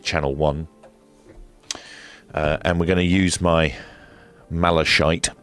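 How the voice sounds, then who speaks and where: A man's voice: a bass voice, level tone, slow, fairly bright tone, clean tone, soft background music. A man presenting a casual hands-on radio equipment demonstration, explaining step by step in a methodical, hesitant way.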